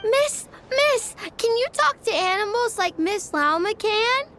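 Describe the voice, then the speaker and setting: A woman's voice: very high voice, expressive, measured pace, noisy background. A woman voicing a curious, innocent little child in a video game, earnest and childlike. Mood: curious, eager. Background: background music.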